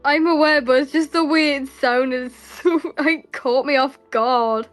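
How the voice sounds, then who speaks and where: A woman's voice: sits high, measured pace, soft background music. A woman from Britain chatting casually and playfully on a gaming stream. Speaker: guest player.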